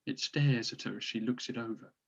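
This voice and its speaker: Male narrator, medium pitch, scripted stage directions, table read, slightly muffled lo-fi sound. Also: fairly even intonation, brisk, gravelly, breathy edge. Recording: dull, lo-fi audio.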